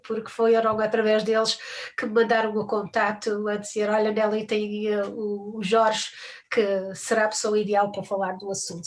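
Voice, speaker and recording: Chatty older woman hosting a live stream. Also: a little high. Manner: warm thankful sign-off, frequent restarts and little laughs. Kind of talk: casual live-stream chat. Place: home, on a video call.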